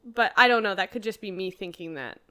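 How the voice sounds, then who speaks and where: A woman's voice: mid-range voice, a little fast, pushed and bright, smooth. A young Canadian woman with a Canadian accent, chatting casually and giggling, with lots of "like". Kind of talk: casual chat.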